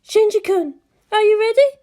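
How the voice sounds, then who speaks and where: Woman playing a high-voiced tense young female commander. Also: lively intonation, moderate pace, mellow. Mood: urgent, commanding. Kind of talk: fan fiction reading.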